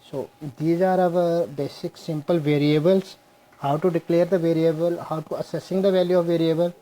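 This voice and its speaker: A young man teaching a programming class on screen, slowly walking through code step by step and mixing Hindi with English. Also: on the high side, flat delivery, warm tone, low-quality recording. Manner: slow, explains step by step, mixes Hindi and English. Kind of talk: screen-share coding lesson.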